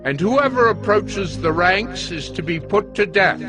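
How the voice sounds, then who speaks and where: An actor playing a resolute high priest who gives deliberate, authoritative orders in an audio Bible drama. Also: male voice, animated. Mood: resolute, commanding. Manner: deliberate, commanding instructions. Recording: music bed behind the voice.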